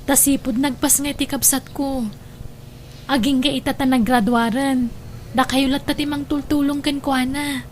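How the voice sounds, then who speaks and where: A middle-aged Ilocano mother, acted by a woman for a radio drama, speaks in a high, expressive voice. She is tender and fretful, stretching her exclamations, over a noisy recording. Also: lightly acted. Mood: earnest, pleading. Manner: expressive, drawn-out endearments and exclamations.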